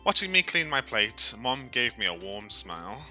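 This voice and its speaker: A man reading a visual novel aloud on a stream as dramatic, expressive narration, in a theatrical storytelling way. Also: mid-range voice, moderate pace, soft background music.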